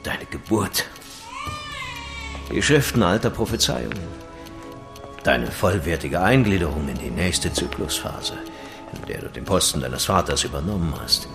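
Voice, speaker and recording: An actor playing a sorrowful, fatherly old keeper of knowledge in a fantasy audio drama, with a gravelly voice. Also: sits low, expressive, unhurried, long pauses, over music, exaggerated voice. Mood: solemn, fatherly.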